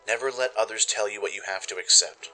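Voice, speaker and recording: A man voicing a loving pony stallion father, a mechanic, gentle and reassuring, in a radio play. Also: fast, faint music behind, low-quality recording. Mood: tender. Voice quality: deep, gentle. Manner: soft, reassuring, affectionate.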